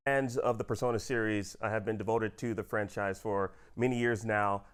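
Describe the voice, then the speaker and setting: A man's voice: lowish voice, steady tone, moderate pace, smooth. A man hosting a gaming interview as the interviewer, polite and presenterly.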